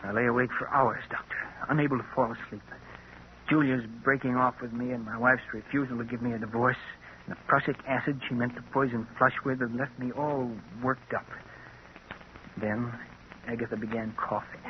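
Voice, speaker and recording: Man playing tense, high-pitched scheming husband. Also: fairly even intonation, a little fast, lightly acted. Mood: brooding, confessional. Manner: intense, brooding, dramatic. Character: henpecked, scheming middle-aged husband, quietly murderous. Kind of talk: vintage radio drama narration and dialogue. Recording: muffled, hissy vintage radio recording.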